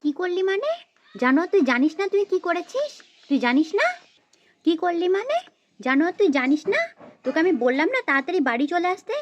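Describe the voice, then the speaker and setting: A woman's voice: high voice, expressive, brisk, dull audio, background noise. A woman playing an exasperated mother who scolds her naughty little girl in a repetitive, threatening way, in an amateur children's story. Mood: angry scolding.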